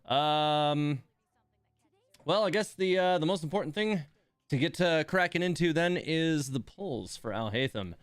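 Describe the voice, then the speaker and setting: A man's voice: a little high, lively intonation, a little slow, clear, resonant tone, no room sound. A man hosts a livestream in an informal, conversational style. His voice is clean and resonant, in a dry recording with no room sound. He rambles along casually as he addresses his viewers. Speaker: game livestream host.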